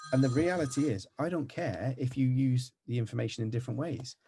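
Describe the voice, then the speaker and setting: A middle-aged man with a West Country accent giving a fast, energetic, chatty marketing tutorial over a video call. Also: medium pitch, slight background noise. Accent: West Country English. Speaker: marketing agency owner. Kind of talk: casual tutorial talk to camera. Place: home with green screen background.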